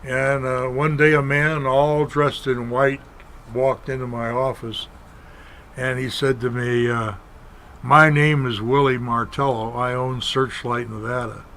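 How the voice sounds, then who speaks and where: An older man raised in Kentucky tells stories as a guest, his voice at an ordinary middle pitch. He speaks plainly and without hurry, quoting people as he goes, with faint noise behind him. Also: level tone, fairly bright tone. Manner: unhurried, plain storyteller, acts out quoted dialogue. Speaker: guest, former stuntman and filmmaker. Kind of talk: storytelling in an interview.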